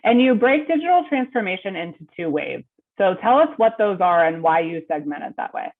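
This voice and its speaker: Sniffly woman host w a cold, short measured questions, thin phone-y audio. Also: on the low side, sing-song. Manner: brief affirmations, measured questions. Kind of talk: interviewer questions. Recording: tinny remote call audio.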